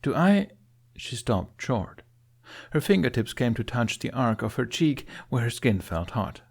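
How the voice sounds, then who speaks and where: A man reading scripted fiction as an expressive audiobook narrator. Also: medium pitch, unhurried, gravelly, slightly rough.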